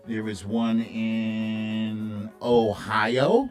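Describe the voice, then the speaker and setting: A man's voice: lowish voice, drawn-out words, darkish tone, soft background music. A man hosting a live stream, giving casual, opinionated political commentary in a blunt, conversational way.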